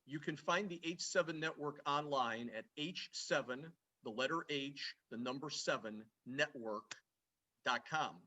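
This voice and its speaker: Polished, bright-voiced male interviewer. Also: a little high, rough recording. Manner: polished, measured presenter. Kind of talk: formal video interview.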